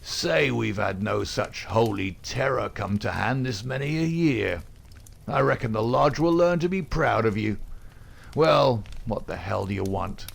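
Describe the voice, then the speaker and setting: A man with a gravelly voice playing a rough, domineering middle-aged gang boss, loud and bullying. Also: mid-range voice, voice pushed up, exaggerated voice. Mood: roaring.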